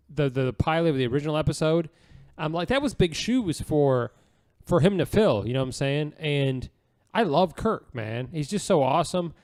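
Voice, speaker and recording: A man's voice: a little high, a little mellow. An American man co-hosting a podcast, chatting casually in an informal, slangy, joking way. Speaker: podcast co-host. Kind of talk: casual, joking panel chat.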